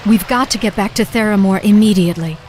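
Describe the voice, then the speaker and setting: An actress playing a determined young sorceress leader in a video game, speaking urgently and earnestly. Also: female voice, medium pitch, fast talker, background noise, natural voice. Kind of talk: video game cinematic acting. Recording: music behind.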